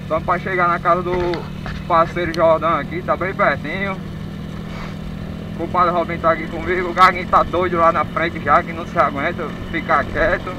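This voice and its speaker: Male motovlogger, higher-pitched, informal slangy chat, heavy noise on action-camera audio. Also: level tone, fairly quick, muffled recording. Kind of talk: casual vlog chat. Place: riding a motorbike on rural dirt roads, later a dim room. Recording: noisy action-camera audio, distant voice, motorcycle rumble.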